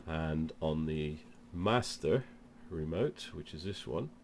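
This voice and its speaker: Low-voiced man with a cold, demonstrating. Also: lively intonation, slow, darkish tone, slight background noise. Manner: step-by-step explaining. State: has a cold. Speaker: presenter of product demonstration. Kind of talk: informal instructional demo.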